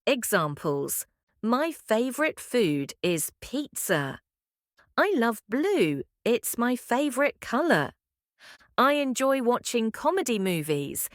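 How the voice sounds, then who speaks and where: A woman co-hosting a podcast English lesson, speaking in a slow, clear, friendly teaching manner. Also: a little high, sing-song, no room sound, studio quality. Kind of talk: scripted English lesson dialogue.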